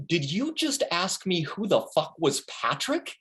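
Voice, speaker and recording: A man's voice: sits high, sing-song, unhurried, a bit bright, heavily acted. A man playing a brash, swooping tour manager. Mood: breezy, bossy. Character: brash, crude, cocky male tour manager and self-styled expert. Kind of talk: comedy play table reading. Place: remote reading over a video call.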